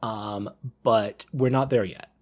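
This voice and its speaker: A man's voice: fairly low pitch, steady tone, slow, a little mellow. Rambling thirty-year-old man, casual podcast talk. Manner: rambling, repeats and rephrases himself. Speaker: podcast panelist. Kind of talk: casual panel discussion.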